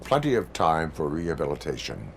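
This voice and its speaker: A man playing a stoic cyborg police officer in a video game, with formal, clipped, deadpan delivery. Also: rumbling low, a little fast, vocal fry, a little hiss or hum, exaggerated voice. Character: stoic cyborg police officer, adult male, rigid and dutiful.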